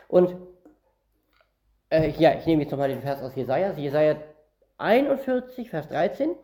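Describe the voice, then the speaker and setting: A man's voice: a little high, sing-song, normal speed, warm tone. A man from northern Germany preaching a Bible-teaching sermon, reading verses aloud and explaining them word by word in a conversational way.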